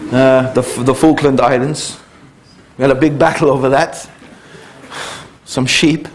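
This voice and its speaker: Man telling his life story. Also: a little high. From United Kingdom. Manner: conversational, digressive, with many fillers and restarts. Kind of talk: public talk, personal storytelling.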